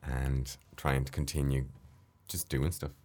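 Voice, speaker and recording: A man's voice: very deep voice, measured pace. A young Irish man, an actor, chatting casually in an interview and telling stories from his work. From Ireland. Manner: chatty, anecdotal, relaxed. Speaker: actor guest.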